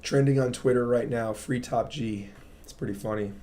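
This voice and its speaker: A man with a raspy voice hosting a casual crypto livestream, explaining charts to viewers and often checking in with "right?". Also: mid-range voice, moderate pace, mellow. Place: home studio. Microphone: studio mic.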